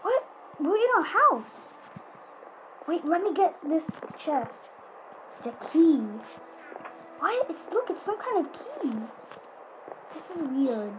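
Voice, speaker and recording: A woman's voice: high-pitched, noisy background. A woman giving casual, playful, chatty commentary during a video game roleplay. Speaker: gaming video host.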